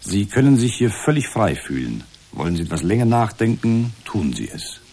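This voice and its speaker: Low, lilting formal therapist. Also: male voice, big pitch swings, dark tone, slight background noise. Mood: formally soothing. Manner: formal, measured, stilted counselling phrases. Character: unflappable automated male therapist, formally polite, echoing the patient. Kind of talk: satirical radio drama acting.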